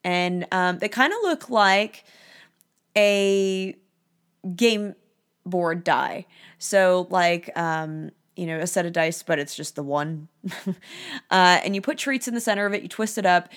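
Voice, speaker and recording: A woman with a hoarse voice hosts a casual dog-care podcast. She sits a little low in her pitch and talks in a loose, friendly way, dropping ums and uhs as she goes. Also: takes it slowly. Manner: chatty, with frequent ums and uhs. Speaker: dog trainer, podcast host.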